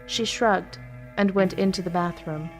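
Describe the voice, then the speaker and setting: A woman narrates a horror story at a very unhurried pace. Her phrasing is measured and deliberate, with frequent pauses, and her pitch sits in the middle. Music plays softly behind her. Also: steady tone. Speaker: story reader.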